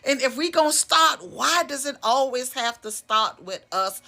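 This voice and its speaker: A woman from Florida with a bright, fairly high voice gives her view in a casual vlog. She keeps asking pointed questions and pushes her point home. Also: expressive, unhurried. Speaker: online commentator.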